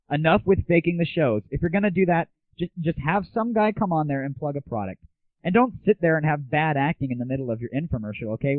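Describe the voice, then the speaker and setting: A man's voice: medium pitch, no echo. Phone-like recording of an irreverent man chatting. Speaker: host of an internet audio show. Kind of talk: casual solo rant and chat. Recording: muffled phone-like lo-fi 1990s internet audio.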